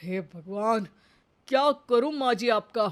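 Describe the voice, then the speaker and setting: A woman's voice: a little high, lively intonation, takes it slowly. A woman voicing an exasperated office boss in a comic cartoon story, formal and trying to stay polite while getting flustered. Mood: exasperated, pleading.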